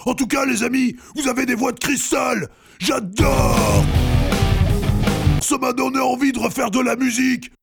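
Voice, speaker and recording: An actor voicing Hercule, a sentimental, enthusiastic air vent machine in a children's audio drama, gushing loudly in a gravelly, husky voice. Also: high-pitched, fairly even intonation, unhurried, soft background music, heavily acted.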